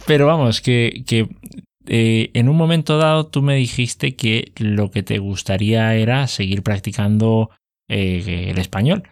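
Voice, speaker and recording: A man's voice: on the low side, unhurried. A man with a Spain Spanish accent chatting casually as host, explaining things at length with many fillers. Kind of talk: casual conversation.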